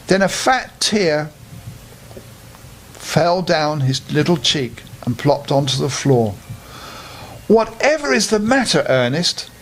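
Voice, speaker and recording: High-voiced older man telling bedtime stories. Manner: expressive, addressing young listeners directly. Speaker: storyteller reading to children. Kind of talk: children's bedtime story narration. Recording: clear background noise.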